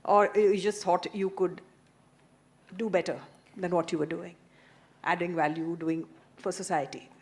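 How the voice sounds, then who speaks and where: A woman's voice: lowish voice, flat delivery, unhurried, pauses often, a little mellow. A woman moderating a festival panel, asking questions in a thoughtful, probing way with some hesitation.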